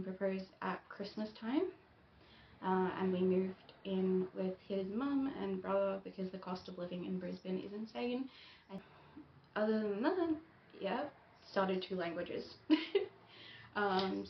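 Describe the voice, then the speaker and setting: A woman's voice: mid-range voice, creaky. Young woman, casual vlog chat, dull lo-fi recording, faint hiss. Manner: chatty, with frequent ums and soft laughs. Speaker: book YouTuber. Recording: echoey room, dull lo-fi sound, faint hiss.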